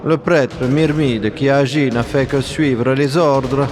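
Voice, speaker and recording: A man's voice: medium pitch, fairly even intonation, a crowd in the background, natural voice. A man playing a haughty, fanatical insect pope in an audio drama, solemn and imperious. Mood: cold, solemn. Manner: solemn, slow, pompous. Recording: long hall reverb.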